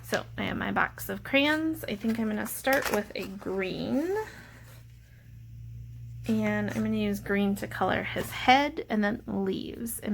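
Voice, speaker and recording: A woman's voice: mid-range voice, creaky, a little hiss or hum. A woman teacher talking children through an art activity step by step, in simple explanatory language. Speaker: teacher leading a drawing lesson. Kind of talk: step-by-step instructional narration for children.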